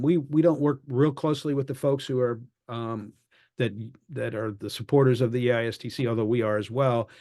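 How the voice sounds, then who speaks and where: A man's voice: medium pitch, darkish tone. A man leading an online meeting, giving a conversational update and answering questions with many fillers and restarts. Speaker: advocacy group leader.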